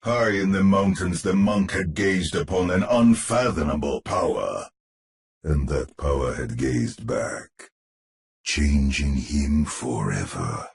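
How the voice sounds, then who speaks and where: A man's voice: rumbling low, expressive, slow pace, gravelly, heavily acted. A man playing a grandiose storyteller who narrates a spooky gothic tale for a game in an ominous, pompous, theatrical way. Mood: ominous, grave.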